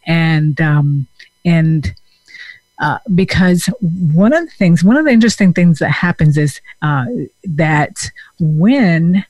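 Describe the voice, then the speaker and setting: A man, a therapist talking in a casual, reflective way with many pauses and fillers. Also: on the high side, expressive, darkish tone, no echo, rough recording. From Denmark. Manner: thoughtful, hesitant with many fillers.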